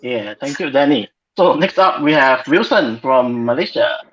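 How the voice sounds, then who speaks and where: A man's voice: mid-range voice, animated, moderate pace, a bit bright. A man moderating an informal online panel discussion as host, on a muffled video call.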